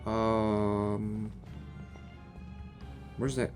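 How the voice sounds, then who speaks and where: A man's voice: on the low side, flat delivery, extremely slow, darkish tone, soft background music. A man giving casual, jokey commentary while playing through a game story, talking back to the characters. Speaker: gamer reacting to a story quest.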